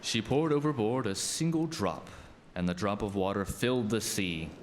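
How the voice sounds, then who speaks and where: A man's voice: lowish voice, animated, normal speed, slight background noise. A man narrating a scripted folk tale as a storyteller.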